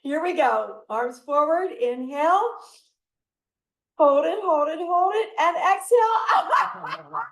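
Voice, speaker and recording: A woman leading a laughter yoga session, giving breathing cues and breaking into loud laughter often. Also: very high-pitched, lively intonation, takes it slowly, pauses often, roomy sound, low-quality recording. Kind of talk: guided exercise instruction. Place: video call from home. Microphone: computer mic on a video call.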